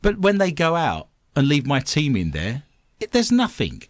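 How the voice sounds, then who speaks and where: A man's voice: fairly high pitch, wildly swooping pitch, moderate pace, dry recording. A man co-hosting a casual paranormal chat show, his voice rising and falling freely as he jokes. He sounds close and dry, with no room around him. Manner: playful, jokey, puts on silly voices and puns. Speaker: co-host of a paranormal news podcast. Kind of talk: joking casual podcast chat.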